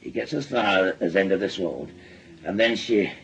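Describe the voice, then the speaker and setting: An actor playing a bitter, weary, ailing working-class father with a Northern English accent and a husky, halting delivery in a radio drama. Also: low voice, lively intonation, exaggerated voice, rough recording. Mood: brooding, halting. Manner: halting, repeating himself. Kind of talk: naturalistic British radio drama acting.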